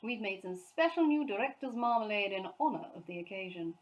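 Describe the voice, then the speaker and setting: A woman voicing a pompous, hearty gentleman host in a storybook, with a booming formal manner. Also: animated, fairly quick, close to an everyday voice. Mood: hearty, tactful.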